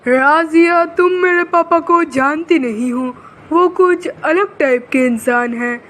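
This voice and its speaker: Man acting high-pitched, devoted young lover. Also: slow, fairly bright tone, exaggerated voice. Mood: earnest, affectionate. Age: young adult. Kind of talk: dubbed cartoon story dialogue.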